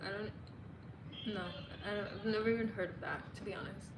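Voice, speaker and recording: A woman's voice: mid-range voice, fairly even intonation, fairly quick. A young woman from Illinois in the American Midwest, chatting playfully on a livestream and answering viewers' guesses. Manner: playful, laughs often, guesses aloud at viewers' comments. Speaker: livestream host. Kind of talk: casual livestream chat reading comments. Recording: echoey room with clear background noise.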